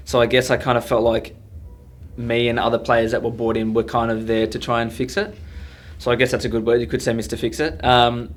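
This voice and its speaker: Young Australian man in a casual interview. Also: mid-range voice. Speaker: footballer being interviewed.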